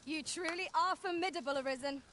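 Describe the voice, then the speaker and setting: High-voiced, earnest adventurer companion. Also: female voice, fairly quick, a bit bright, slight background noise. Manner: formal archaic phrasing. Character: earnest, dutiful female fantasy adventurer companion. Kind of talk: fantasy video game acting.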